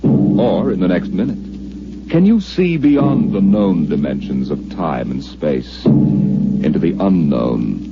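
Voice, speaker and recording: Casting: male American radio narrator, low, animated, rhetorical and ominous, muffled recording with booming music. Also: takes it slowly. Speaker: program narrator. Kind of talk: dramatic scripted radio narration. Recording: dull vintage radio, loud dramatic music.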